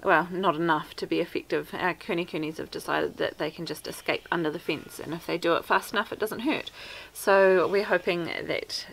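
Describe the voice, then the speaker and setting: Down-to-earth New Zealand homesteader woman, thirties, slightly low and gravelly, practical chat to camera outdoors. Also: fairly even intonation, moderate pace, hardly any pauses, a bit bright, low-quality recording. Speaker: homesteader vlogger. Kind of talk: casual how-to talk to camera. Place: outdoors by a wooden fence. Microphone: camera at arm's length.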